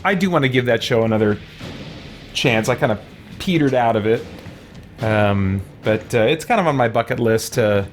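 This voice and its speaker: A man's voice: medium pitch, expressive, normal speed, a little hiss or hum. A man doing casual live gameplay commentary, thinking aloud and muttering to himself as he plays. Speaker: game streamer.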